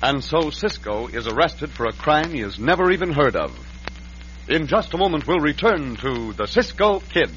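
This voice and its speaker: A man's voice: on the high side, big pitch swings, moderate pace. An American man narrating a western serial as a dramatic old-time radio announcer. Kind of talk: dramatic 1940s-50s radio scripted narration. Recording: muffled vintage radio broadcast. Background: music.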